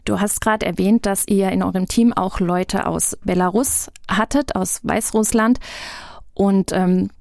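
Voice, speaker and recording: A woman hosting a radio show, asking a guest calm, measured questions. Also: mid-range voice, gravelly, no room sound, studio quality. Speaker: radio host.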